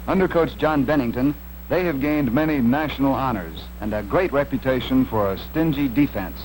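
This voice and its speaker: American man, medium pitch, scripted 1960s film announcer, faint noise and hum on old soundtrack. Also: moderate pace, a little mellow, rough recording. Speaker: narrator introducing an instructional film.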